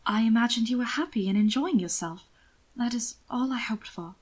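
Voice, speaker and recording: A woman voicing a meek, anxious young gentleman in an audiobook, speaking softly with hesitant deference. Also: on the high side, moderate pace, natural voice. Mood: gentle, deferential. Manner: soft, hesitant, pained. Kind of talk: audiobook character acting.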